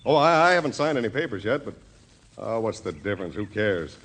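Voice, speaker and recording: An actor playing an aggrieved, resigned American farmer neighbor in a 1940s radio drama, folksy and hesitant. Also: male voice, lowish voice, sing-song, brisk, fairly bright tone, breathy edge, exaggerated voice. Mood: sheepish, resigned. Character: aggrieved, hapless male peach-farming neighbor, bitterly resigned. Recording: faintly noisy old radio recording.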